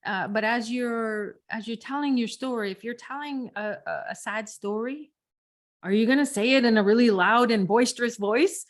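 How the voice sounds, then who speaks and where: A woman's voice: on the high side, normal speed. A woman co-hosting a podcast, teaching speaking technique in a conversational, demonstrative way. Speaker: podcast co-host and coach.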